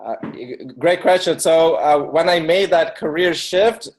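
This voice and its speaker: Guy with a bit of a higher voice, podcast guest, super informal, says like a lot. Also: a little slow. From born in Ukraine, moved to the US at eight. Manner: informal, filler-heavy with frequent "like". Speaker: interview guest, marketer. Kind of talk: casual conversational storytelling.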